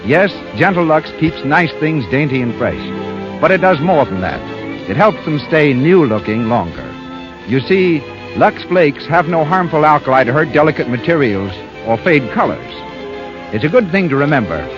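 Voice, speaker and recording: A man announcing in a polished, emphatic scripted style on a 1930s radio broadcast. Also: on the high side. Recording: muffled vintage 1930s radio broadcast, music behind.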